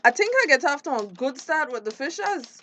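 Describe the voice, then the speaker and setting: A woman's voice: sits high, very animated, brisk, bright, forceful tone, slight background noise, full character voice. A woman acting a friendly, eager young community project worker in a radio drama, upbeat and chatty with a Caribbean accent. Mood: upbeat, curious.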